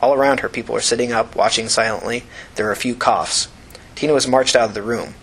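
A man's voice: medium pitch, fairly even intonation, quick pace, a bit bright, slightly rough, rough recording. A man reading scripted fiction aloud as an audiobook narrator.

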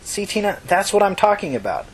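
A woman's voice: medium pitch, very animated, fairly quick, slightly rough, voice pushed up, poor audio. A woman voicing a blunt, frank young street friend in casual audiobook dialogue.

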